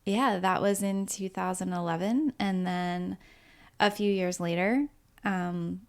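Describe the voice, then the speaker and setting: A woman's voice: medium pitch, fairly even intonation, slow, smooth. A woman in her mid-thirties co-hosting a podcast, telling stories in an upbeat way and laughing often. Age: 30s. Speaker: podcast co-host. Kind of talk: casual storytelling.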